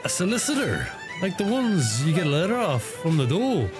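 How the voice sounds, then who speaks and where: Grumbling Irish barfly. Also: male voice, high-pitched, big pitch swings, a little fast, music in the background. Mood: dim, defensive. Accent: Donegal Irish. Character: dim, grumbling male pub regular. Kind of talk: radio play comic acting.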